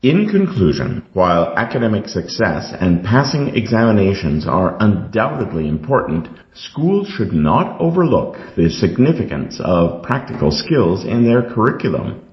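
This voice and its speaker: A man's voice: lowish voice, very animated, normal speed, few pauses, muffled recording. A man reading a scripted model essay aloud in the role of a reader for English exam practice.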